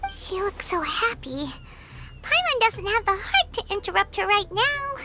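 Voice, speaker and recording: A woman voicing a tiny, excitable, childlike fairy companion in a video game, squeaky and childish. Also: unhurried, very noisy, full character voice. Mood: hesitant. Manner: squeaky, childish, speaks of self in third person.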